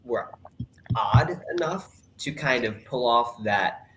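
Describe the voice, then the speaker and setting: Guy w/ a little rhode island accent, co-hosting a podcast, mid pitched, bit of faint background noise. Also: lively intonation, slow, fairly bright tone, poor audio. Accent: slight Rhode Island. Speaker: podcast co-host. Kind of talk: casual podcast chat.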